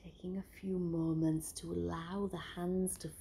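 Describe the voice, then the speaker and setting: Soft-spoken woman guiding a slow meditation. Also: lowish voice, level tone, vocal fry, slight background noise. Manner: slow, soft, soothing instructions with frequent whispered phrases. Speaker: meditation guide. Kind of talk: guided breathing meditation.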